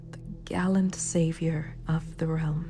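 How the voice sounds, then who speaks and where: Villain ruler, fallen hero female actor: very even, unhurried half-whisper, regretful and dignified, ASMR roleplay over dark music. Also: deep voice. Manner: hushed, breathy, measured, formal. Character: remorseful, sympathetic villain ruler, once a hero. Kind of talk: scripted ASMR roleplay monologue. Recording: clear background music. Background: dark, spooky background music.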